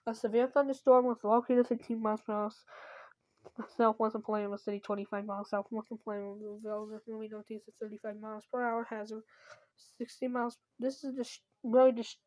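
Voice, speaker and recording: A woman's voice: fairly high pitch, flat delivery, brisk, darkish tone, rough recording. A woman announcing a scripted emergency weather bulletin, stumbling at times and correcting herself.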